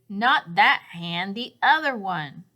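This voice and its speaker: Woman reading as a kindly, playful father bear, unhurried. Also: mid-range voice, animated, bright, forceful tone. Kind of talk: children's storybook reading.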